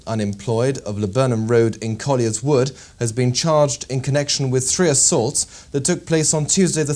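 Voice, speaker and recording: A man with a British accent reading a scripted local television news bulletin in a formal, measured manner. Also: mid-range voice, darkish tone.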